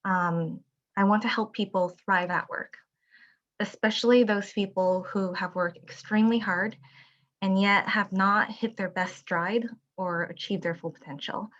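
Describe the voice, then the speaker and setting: A middle-aged woman from Taiwan, a company founder giving an earnest, measured presentation with frequent ums over a video call. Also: mid-range voice, a bit bright, lo-fi sound. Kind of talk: prepared presentation.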